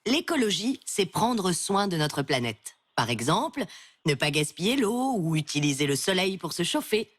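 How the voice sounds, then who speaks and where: A patient cartoon schoolteacher. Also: female voice, lowish voice, very animated, normal speed, slightly rough. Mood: teacherly. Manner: patient, teacherly, slightly teasing. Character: kind, gently stern schoolteacher woman. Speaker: teacher. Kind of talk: cartoon dubbing. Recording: music under the dialogue.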